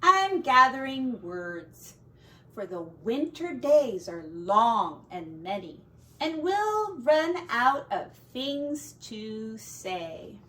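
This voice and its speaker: A woman voicing a gentle, dreamy male field mouse in a storybook, speaking simply and calmly. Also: fairly high pitch, very slow, far from the mic, full character voice. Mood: dreamy, simple.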